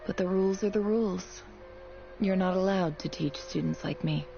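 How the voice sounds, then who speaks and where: A low, gravelly-edged young student. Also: female voice, normal speed, mellow, lo-fi sound, faint music behind. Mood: earnest, bitter. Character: young female academy student, guarded, wry and matter-of-fact. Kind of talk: video game voice acting.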